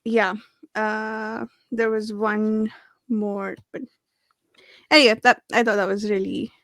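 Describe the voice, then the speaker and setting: A woman's voice: mid-range voice, level tone, slow pace, a bit bright, dry recording. A woman in a casual book discussion, talking in a rambling, enthusiastic way.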